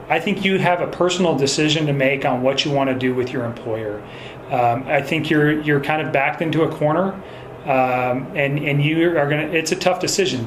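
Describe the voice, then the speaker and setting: A man speaking as a police investigator in a phone interview, choosing his words in a hesitant, measured and polite way. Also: a little high. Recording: echoey recorded phone call with faint noise.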